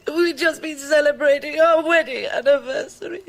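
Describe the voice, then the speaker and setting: An actress with a British accent playing a timid, tender middle-aged French widow in a radio drama, soft, breathy and hesitant. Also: female voice, high-pitched, moderate pace. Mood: grieving, tearful. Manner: hesitant, wistful.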